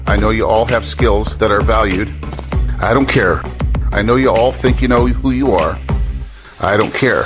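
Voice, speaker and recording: An actor playing a harsh, domineering military drill sergeant in an audio drama, commanding and sarcastic. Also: male voice, mid-range voice, close to an everyday voice. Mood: stern, commanding. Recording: music behind the voice.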